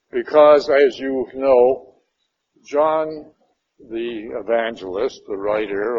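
Slow-spoken man teaching a Bible class. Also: medium pitch, highs cut off, a little hiss or hum. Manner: unhurried, conversational, with frequent "uh" and "all right". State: slightly raspy from a cold. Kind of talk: opening prayer then informal Bible lesson. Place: church meeting room.

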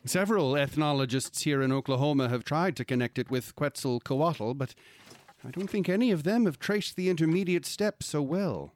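An actor playing a busy, genial but wary older psychiatric doctor in an old-time radio drama, murmuring and hesitating between brisk asides. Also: male voice, fairly high pitch, a little mellow, no echo, close to an everyday voice. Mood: musing, guarded.

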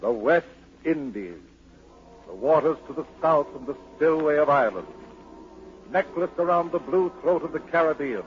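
A man narrating a 1940s radio drama in a measured, dramatic, poetic manner. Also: on the high side, expressive, a little hiss or hum. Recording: dull old radio broadcast with music.

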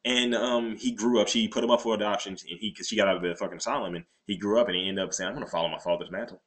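A man co-hosting a podcast, chatting crudely and loudly, often laughing at his own jokes. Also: fairly low pitch, fairly even intonation, very fast. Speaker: podcast co-host. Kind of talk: crude casual chat.

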